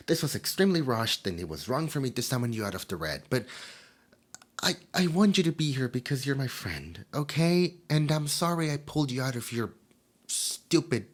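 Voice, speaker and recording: A man's voice: medium pitch, very animated, moderate pace. A man voicing a nervous, lonely demon host who stammers and giggles through an audio roleplay. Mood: apologetic.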